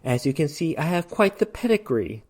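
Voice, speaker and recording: Narrator playing a dapper male demon hunter for a storybook: stoic, wry, proud, with animated intonation. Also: medium pitch, normal speed, pitched above the performer's own voice. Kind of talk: dramatic short story reading.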